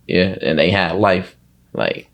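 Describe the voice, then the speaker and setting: A man talking casually as an interview guest, slangy and reflective, often halting and leaning on filler words. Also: low-pitched, creaky, a crowd in the background.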